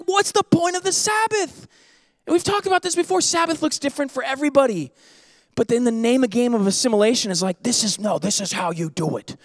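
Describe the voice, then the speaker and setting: A pastor in his thirties preaches into a handheld mic with a high, bright-sitting voice. He jokes animatedly, then sinks into soft, earnest passages. The sound is dry and close, with little room echo. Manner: animated, jokey, shifts to soft earnest tones. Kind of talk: sermon. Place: church stage, indoors.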